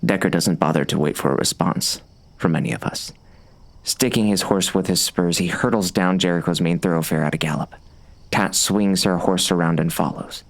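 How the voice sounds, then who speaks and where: Weary gunslinger narrator, really deep n gravelly, sardonic, western audiobook. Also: male voice, moderate pace. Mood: wry, watchful. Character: wry, weary male bounty hunter narrating.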